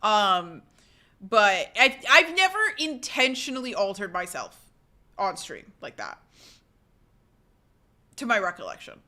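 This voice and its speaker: A woman's voice: a little slow, pauses often. A young woman streamer chatting casually and reading live comments, swearing freely and restarting her sentences. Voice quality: says her voice is fairly low and relaxed when tired.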